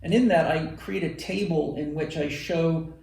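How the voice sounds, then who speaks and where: A man's voice: fairly high pitch, normal speed, gravelly, a little mellow. A man hosting a podcast, answering a listener's question in a thoughtful, explaining way. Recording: roomy, from a distant mic.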